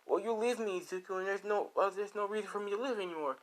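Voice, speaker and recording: A man's voice: extremely high pitch, lively intonation, quick pace, a bit bright, pitched above the performer's own voice, rough recording. A man voicing an obsessive, volatile young woman in a fan story, with a pleading, dramatic manner. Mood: desperate, threatening.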